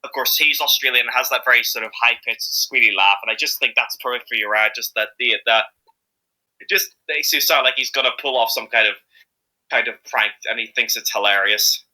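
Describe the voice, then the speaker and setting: Male hobby voice actor as interviewee: mid-pitched, strikingly bright, jokey, halting delivery. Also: poor audio. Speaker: amateur voice actor, interview guest. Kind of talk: casual interview chat.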